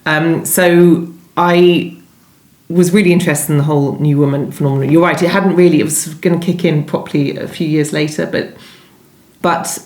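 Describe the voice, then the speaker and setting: A woman speaking conversationally as an interviewed novelist, in a discursive way, often interrupting and rephrasing herself. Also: deep voice. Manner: discursive, self-interrupting, hedging with "sort of". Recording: echoey room.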